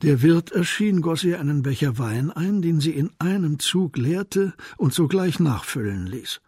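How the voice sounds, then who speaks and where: An actor narrating a detective radio drama in a composed, scripted storytelling style. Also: male voice, a little high, animated, measured pace, a little mellow, slightly rough, no room sound, studio quality.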